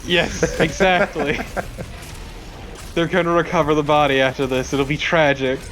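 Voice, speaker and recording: A man's voice: a little high, flat delivery, moderate pace, music in the background. A man co-commentating a video game with casual, wry banter, joking and swearing. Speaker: co-commentator on a let's play. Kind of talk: casual banter over gameplay.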